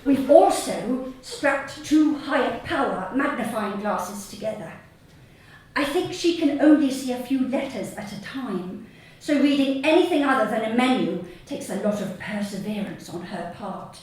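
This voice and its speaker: A woman with a southern English accent reads her humorous short story live on stage, deadpan and measured, with pauses. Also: a little high. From Sussex, England. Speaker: author reading own short story. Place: small theatre stage before an audience. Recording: very echoey hall, distant mic, faint noise.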